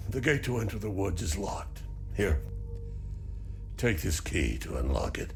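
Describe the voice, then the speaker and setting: An actor playing a weary, stoic older farmer in a video game, grieving and embittered by war, speaking in a measured way. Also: male voice, sits low, big pitch swings, vocal fry, loud music behind the voice, exaggerated voice. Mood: helpful, subdued. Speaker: non-player character. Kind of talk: video game dialogue acting.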